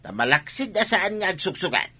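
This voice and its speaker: A man's voice: high-pitched, big pitch swings, brisk, sharp tone, husky, exaggerated voice. An actor playing a cheerful, vain village man with an Ilocano Filipino accent, boasting and exclaiming in a comic radio drama. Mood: boastful.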